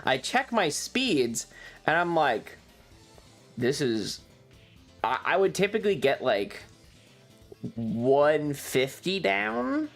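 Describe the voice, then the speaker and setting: A streamer rambling casually through a personal story in an exasperated, sweary way, with halting, repetitive phrasing. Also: medium pitch, very animated, creaky, soft background music.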